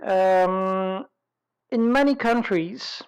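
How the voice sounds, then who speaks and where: A woman presenting an informal webinar, explaining things in a deliberate way with frequent pauses and rephrasing. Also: medium pitch, a little mellow, smooth.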